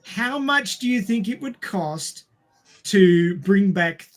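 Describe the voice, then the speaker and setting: Playful podcast panelist, mid-pitched voice. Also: animated, slow pace. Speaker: podcast panelist posing questions. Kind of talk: casual panel chat.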